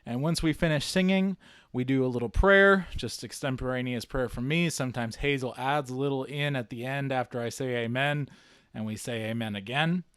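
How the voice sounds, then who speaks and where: A man's voice: medium pitch, normal speed, fairly bright tone, smooth, no echo, clean studio sound. A man narrating a casual screen-share vlog, informally thinking aloud as he works through tasks on the computer. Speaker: vlogger and video editor.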